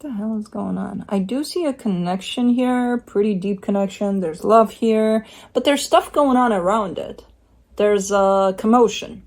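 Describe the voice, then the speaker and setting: A woman's voice: mid-range voice, a little slow, darkish tone. A woman giving a casual tarot reading at home, talking in a chatty, rambling way with blunt asides. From Romania. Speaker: tarot reader. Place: home by a window with a bird feeder.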